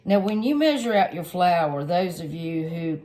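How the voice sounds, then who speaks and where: A woman, a little hoarse and stuffed up, chatting homily through a cooking tutorial for her viewers. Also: fairly low pitch, lively intonation, unhurried, warm tone. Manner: homey, chatty, lots of fillers, addresses viewers directly. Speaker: home cook channel host.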